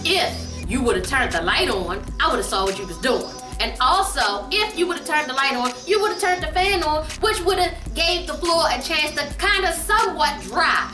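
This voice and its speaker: Animated high-voiced woman telling a funny story. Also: normal speed, few pauses. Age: adult. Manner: animated, exaggerated, repeats phrases for emphasis. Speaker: vlogger telling a family story. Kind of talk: casual comedic storytelling. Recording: roomy, distant mic, music behind. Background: background music.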